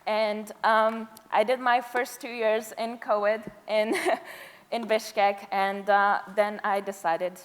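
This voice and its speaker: Young woman student, nervous n halting, unscripted talk, medium pitch, lots of hall reverb. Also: little pitch movement, some chatter behind. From Afghan, grew up in Pakistan. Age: 20s. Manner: hesitant, halting. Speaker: university student speaker. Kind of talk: informal, unscripted public speech. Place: large indoor event hall. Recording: echoey hall, long reverb.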